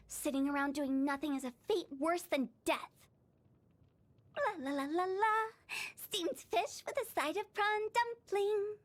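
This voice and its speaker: Teasing high-pitched young prankster. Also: female voice. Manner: teasing, sing-song rhymes. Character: mischievous young woman funeral director, playful prankster. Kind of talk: game character voice lines.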